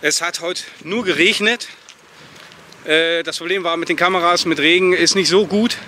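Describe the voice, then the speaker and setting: A man's voice: high-pitched, moderate pace. A man, vlogging casually in blunt, colloquial language. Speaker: vlog host. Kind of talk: casual vlog chat. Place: outdoors, at an event and along a roadside. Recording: loud outdoor ambient and vehicle noise.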